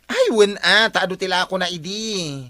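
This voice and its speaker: A woman's voice: lowish voice, moderate pace, fairly bright tone, no room sound, clean studio sound, natural voice. A woman playing a gossipy, shameless village debtor in an Ilocano radio comedy drama, with an Ilocano accent and brazen delivery. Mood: gossipy, evasive.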